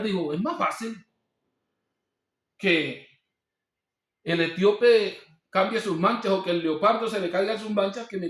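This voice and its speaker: Preaching man in an echoey room. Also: high-pitched. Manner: preaching with rhetorical questions and scripture quotes. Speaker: pastor. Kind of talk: sermon.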